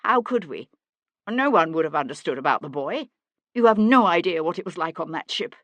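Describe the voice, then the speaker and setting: A woman's voice: sits low, animated, moderate pace, vocal fry, dry recording, dull audio. A woman voicing a blustering middle-aged merchant man in an audiobook, indignant, guilty and pleading. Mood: desperate pleading.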